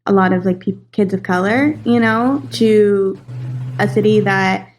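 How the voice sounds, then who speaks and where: Young woman, chatty interview guest, lots of fillers, easy laughs, mid-pitched voice. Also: unhurried, slight background noise, low-quality recording. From California, USA. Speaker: casting and voice director, guest. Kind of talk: casual interview chat.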